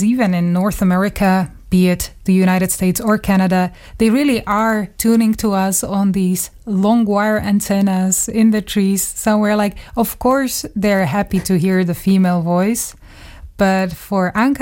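A woman, a radio journalist reminiscing in an interview with thoughtful pauses. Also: medium pitch, darkish tone. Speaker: radio journalist, studio guest.

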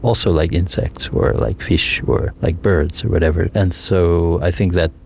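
A man's voice: sits low, steady tone, moderate pace, vocal fry, a little mellow, slight background noise. A man from the United States, a multimedia sound artist, explaining his work in an interview in a thoughtful, rambling way with many fillers and restarts.

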